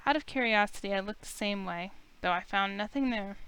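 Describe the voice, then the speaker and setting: A woman's voice: medium pitch, brisk, a bit bright, smooth. A woman reading a visual novel aloud in the first person, acting out the heroine's lines.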